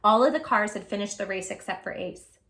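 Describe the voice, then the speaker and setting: A woman hosting storytime, reading a children's picture book aloud in a gentle, expressive, affectionate way. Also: medium pitch, brisk, vocal fry, echoey room.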